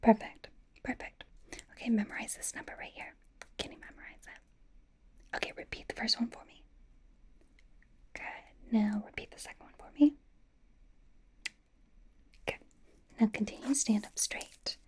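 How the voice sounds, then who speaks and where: A woman whispers softly and breathily in an ASMR personal-attention roleplay. She plays a bubbly young idol stylist who dotes on the listener, coaxing and reassuring with playful, chatty asides. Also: a little high, fairly even intonation, normal speed, long pauses. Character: bubbly, doting young female pop idol playing stylist.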